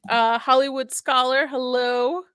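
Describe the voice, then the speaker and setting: Bright, giggly woman hosting a podcast. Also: high-pitched, fairly even intonation, moderate pace, smooth, dry recording, studio quality. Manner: chatty and giggly. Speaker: podcast host. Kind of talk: casual chat, reading out chat names.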